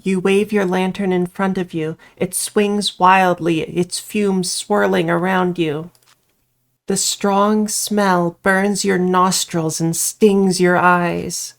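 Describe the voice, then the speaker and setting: A woman's voice: lowish voice, flat delivery, slow pace. A woman reading a horror visual novel aloud as she plays, mixing storybook-like narration with casual commentary. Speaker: player narrating a game playthrough.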